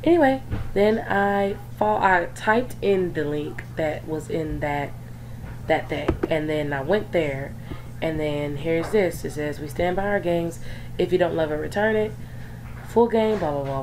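A young woman with a voice worn hoarse from sickness, sitting lower in pitch than most. She rambles casually, full of fillers and side remarks. A faint hum of background noise sits under her home recording. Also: measured pace. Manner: chatty and rambling, many fillers and asides. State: hoarse from illness. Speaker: gaming YouTuber. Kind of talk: casual screen-recorded vlog chat.

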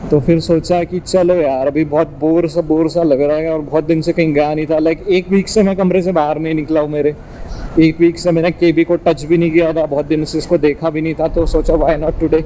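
A man's voice: a little high, little pitch movement, brisk, mellow, poor audio. A young man with an Indian accent chats informally as a motovlogger, mixing in English while he rides a motorbike outdoors. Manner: informal, chatty. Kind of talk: casual vlog chat while riding, Hindi mixed with English. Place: outdoors, riding a motorbike on village roads. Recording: clear vehicle and road noise. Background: engine and road noise.

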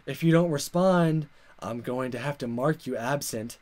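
A man voicing a smug, commanding male schoolteacher in a visual novel, measured and goading. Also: medium pitch, darkish tone, close to an everyday voice.

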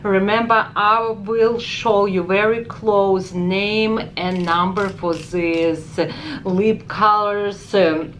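A woman's voice: medium pitch, a little hiss or hum. A woman in her forties from Ukraine with a heavy Ukrainian accent, chatting enthusiastically through a makeup review and swatching products in a store. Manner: chatty, enthusiastic, exclaims often. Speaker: beauty vlogger. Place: department store makeup counter, indoors. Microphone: camera close up.

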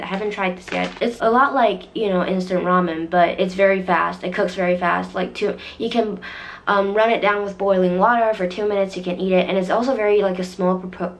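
Chatty young woman, voice a bit worn. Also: mid-range voice. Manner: chatty, filler-heavy, often restarts and corrects herself. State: voice a bit deeper than usual after losing it. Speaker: vlogger. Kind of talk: casual chat to camera. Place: small bedroom, indoors. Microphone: camera in front at desk distance.

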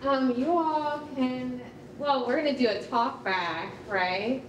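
A woman's voice: sits high, slow. A young adult woman casually hosting a live event. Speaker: event host. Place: rehearsal hall before live audience, masked. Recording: distant, echoey hall, loud crowd noise.

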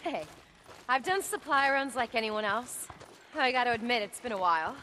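An actress playing a brisk, guarded, determined camp scientist in a video game, earnest in manner. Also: female voice, on the high side, expressive, bright, forceful tone, a little hiss or hum, rough recording.

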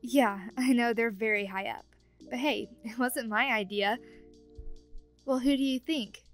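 Girl acting as teasing chatty ghost roommate, highish voice, audio roleplay w music behind. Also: measured pace, fairly bright tone. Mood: teasing, friendly. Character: playful, teasing, meddling young female ghost roommate.

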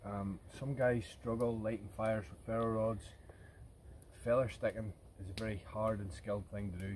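Male bushcraft presenter, thirties, lowish voice, informal explainer, recorded outdoors with some ambient noise. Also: a little mellow. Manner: informal, explanatory, addressing viewers as guys. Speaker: bushcraft vlogger. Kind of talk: casual outdoor tutorial talk to camera. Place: outdoors in woodland camp.

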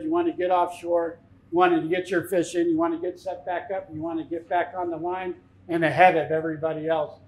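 A man in his fifties giving an informal, plain-spoken seminar talk as a fishing captain, telling anecdotes. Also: fairly high pitch. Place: tackle shop, indoors, speaking to an audience. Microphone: lapel mic. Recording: echoey shop room.

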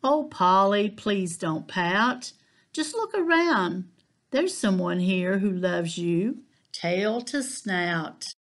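A woman voicing a kind, gentle mother pig who soothes her piglet in an unhurried way in a storybook reading. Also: mid-range voice, lively intonation.

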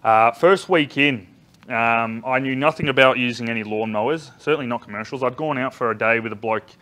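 Loud chatty Australian man. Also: mid-range voice, brisk. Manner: loud, chatty storytelling with many ums and restarts. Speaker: business trainer. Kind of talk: live talk to a seated audience. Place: large conference room indoors. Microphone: far camera, no visible mic.

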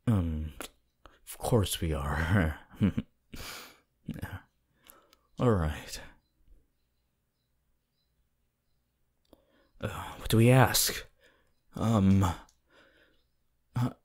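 A man playing a laid-back, teasing, sceptical teenage anime boy in an intimate, halting ASMR roleplay. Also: low voice, a little mellow, husky, full character voice. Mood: playful, hesitant. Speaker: voice actor.

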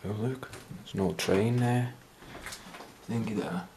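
Urban explorer, man, very low voice, echoey rooms with loud camera hiss. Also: takes it slowly. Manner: chatty, half-whispered asides. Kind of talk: casual filmed commentary. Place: abandoned house indoors. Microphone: handheld camera. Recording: roomy and noisy camera audio.

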